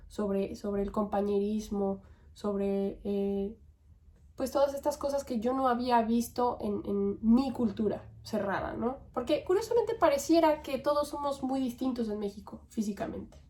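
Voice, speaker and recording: Young Mexican woman, slightly high voice, chatty reflective monologue, podcast host. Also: a little slow, warm tone. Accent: Mexican Spanish. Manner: conversational, musing, with tag questions and fillers.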